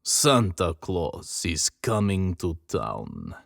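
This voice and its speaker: A man's voice: deep voice, wildly swooping pitch, very slow, vocal fry, fairly bright tone, no echo, clean studio sound, voice pushed down, full character voice. A man voicing an embittered, stern Russian general in an audiobook, with clipped, threatening authority. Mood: uneasy.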